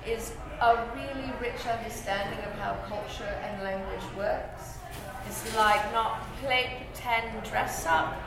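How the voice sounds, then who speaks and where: A woman talking casually about writing, animated and thinking aloud with restarts. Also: a little high, very echoey, rough recording. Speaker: writer discussing fiction craft.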